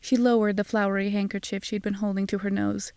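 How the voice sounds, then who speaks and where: A woman's voice: mid-range voice, level tone, fast talker, a little mellow, clean tone, dry recording, studio quality. A woman narrating a scripted first-person audio drama in a wry, sardonic manner.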